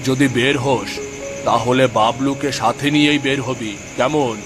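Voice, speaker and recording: Man acting concerned father, middle-pitched voice, mildly scolding, questioning, cartoon dialogue, music behind. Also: fairly even intonation, moderate pace, natural voice. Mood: concerned, chiding. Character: protective, worried father of a grown son.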